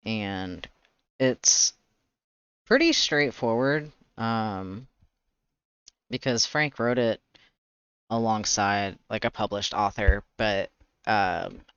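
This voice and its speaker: A man with a lowish voice talks casually to a livestream as he works. He rambles and hesitates, hunting for words. The sound is close and dry, though a little dull. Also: slow, long pauses, a bit bright, dry recording. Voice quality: raspy. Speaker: streamer, webcomic artist. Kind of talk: casual chatty livestream monologue. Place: indoors, livestream.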